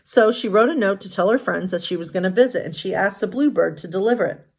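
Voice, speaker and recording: A woman pastor hosting bedtime stories, reading aloud in an informal, expressive way with casual asides. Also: medium pitch, fast, slightly rough. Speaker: pastor and bedtime story host.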